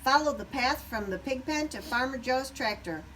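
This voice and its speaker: A brisk storybook chicken, acted out by a woman, calls out like a race announcer. The voice is raised and lively, with slight noise behind. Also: high-pitched, distant mic, voice pushed up, poor audio. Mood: announcing. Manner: calling out, announcing. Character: brisk male chicken announcing sports races.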